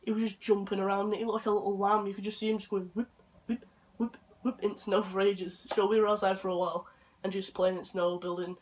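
A young man, hoarse from a flu, chatting casually in his bedroom and rambling softly to his dog. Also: high voice, flat delivery, fast, a little hiss or hum. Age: young adult. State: hoarse from flu and chest infection. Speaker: vlogger. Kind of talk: casual chat. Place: bedroom, indoors.

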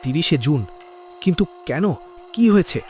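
A man playing an earnest young man who warns and explains gravely in a cartoon drama. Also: on the high side, lively intonation, normal speed, dark tone, soft background music, lightly acted. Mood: earnest concerned. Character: earnest, urgent young man warning his friend.

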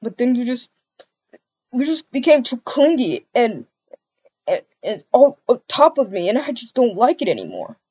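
A woman's voice: on the high side, sing-song, normal speed, dark tone, husky, lo-fi sound. A woman voicing a pleading, apologetic cheating husband in an amateur game roleplay. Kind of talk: amateur voiced Roblox roleplay.

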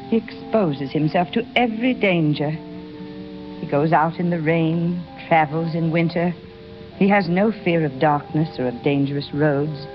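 An actress playing a gentle, devout old lady reading out a letter in a 1930s radio drama. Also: deep voice. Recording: muffled 1930s radio recording, music behind.

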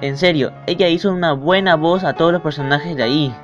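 A man's voice: a little high, a little fast. A man speaking casually to viewers as the host of an amateur fandub channel. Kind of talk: casual video outro addressed to viewers. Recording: slightly dull lo-fi microphone, music behind. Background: music.

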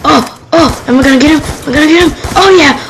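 A woman with a sniffly cold, casually commenting on her own game as she plays, chatty and quick to cry out in fights. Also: high-pitched. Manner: excitable, chatty, cries out during fights. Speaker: gameplay commentator. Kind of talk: casual live gameplay commentary. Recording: faint gunfire and game noise behind.

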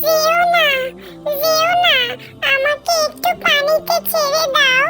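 A woman's voice: very high voice, steady tone, slow pace, pushed and bright, soft background music, exaggerated voice. A woman voicing a magical golden fish in a cartoon, plaintive and entreating. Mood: desperate pleading. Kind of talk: cartoon voice acting.

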